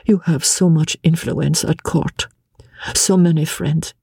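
A man's voice: fairly high pitch, steady tone, gravelly, darkish tone, slightly rough, no echo, studio quality, voice pushed up, exaggerated voice. A man voicing a tearful pleading noblewoman. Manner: breathless, pleading, broken by halting repeats.

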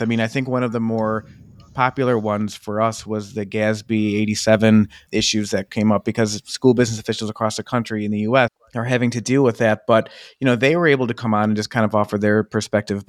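Male podcast host in his thirties, low voice, dry close sound, friendly. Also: no room sound. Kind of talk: casual interview.

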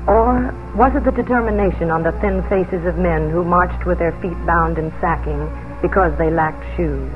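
An actress playing a proud, earnest colonial mistress with wavering loyalties, in 1950s radio drama style. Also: female voice, on the low side, normal speed, few pauses, natural voice. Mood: grave, reflective. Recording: dull vintage 1950s radio broadcast, orchestral music.

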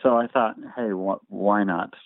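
A man's voice: fairly low pitch, steady tone, unhurried, dry recording. Easygoing man on a thin phone line. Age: 40s. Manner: easygoing and modest, chuckles often. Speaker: guest, singer and memoir author. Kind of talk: casual interview chat.

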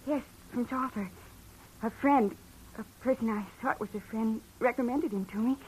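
Woman doing a scared young lady in an old radio play, kinda high voice, stammery, faint hiss. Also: moderate pace, a little mellow, lightly acted, poor audio. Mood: nervous. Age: young adult. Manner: hesitant, stammering, breathy pleading. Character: frightened young woman in trouble, pleading. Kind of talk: 1940s radio drama acting.

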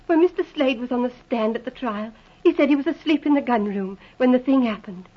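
A muffled vintage radio recording of a woman acting an earnest, grieving young woman. Her voice is high and slightly rough, and she answers deferentially. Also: brisk, natural voice. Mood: earnest, subdued. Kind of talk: old-time radio drama acting.

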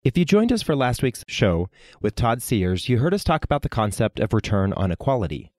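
A man's voice: medium pitch, very animated, brisk, darkish tone, no echo, studio quality. A male podcast host delivering a scripted intro and then asking questions with emphatic energy.

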